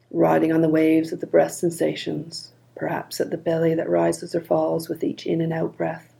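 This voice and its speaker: A woman leading a guided body scan meditation in a calm, gentle, unhurried manner. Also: sits low, vocal fry.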